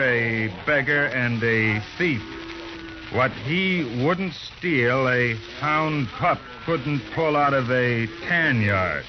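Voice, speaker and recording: A man plays a gruff, contemptuous cattle boss in a radio western. He gives orders in a slow, folksy cowboy drawl at a middling pitch. The sound is dulled like an old broadcast. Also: close to an everyday voice. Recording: muffled old radio recording.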